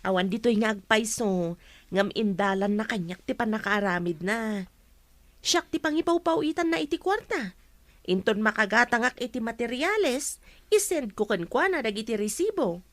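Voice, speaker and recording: A woman acts a pious, trusting young Ilocano woman in a radio drama. Her voice is on the high side and earnest, recorded dry. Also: animated, normal speed, no room sound, close to an everyday voice. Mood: sincere, respectful.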